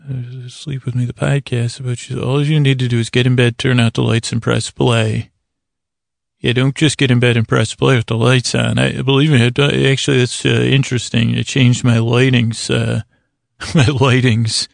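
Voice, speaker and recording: A male podcast host delivers a meandering bedtime monologue. His mid-pitched voice is heavily gravelly and flat in intonation, with hesitant pauses and self-corrections. The sound is close and dry. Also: no echo.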